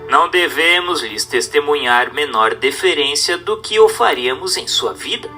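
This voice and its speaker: Bright-voiced man, solemn animated narrator. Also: a little high, normal speed. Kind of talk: formal scripted reading of a religious text. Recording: narration with background music.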